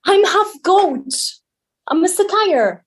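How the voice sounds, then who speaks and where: A woman's voice: very high-pitched, animated, takes it slowly, heavily acted. A woman playing an anxious, loyal young half-goat boy in a theatrical table read, panicky and exclamatory. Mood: nervous.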